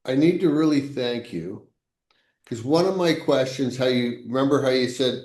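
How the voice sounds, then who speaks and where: A man co-hosting a podcast, talking in a casual, halting way as he searches for his words. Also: medium pitch, a little mellow. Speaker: podcast co-host. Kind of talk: casual conversation. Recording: roomy echo.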